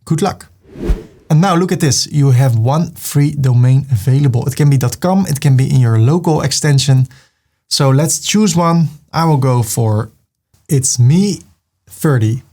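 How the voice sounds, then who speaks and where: A man's voice: medium pitch, unhurried, mellow. A man with a Dutch accent, hosting a screen-recorded tutorial and talking through each click in an upbeat way. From Netherlands. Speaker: web tutorial host. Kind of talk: screen-recorded software walkthrough.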